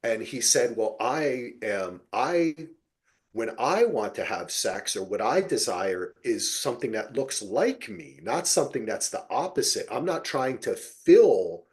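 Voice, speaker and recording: A man hosting a podcast, chatting casually about television in a rambling, animated way and swearing freely. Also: medium pitch, a little slow. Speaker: podcast host. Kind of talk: casual TV recap discussion.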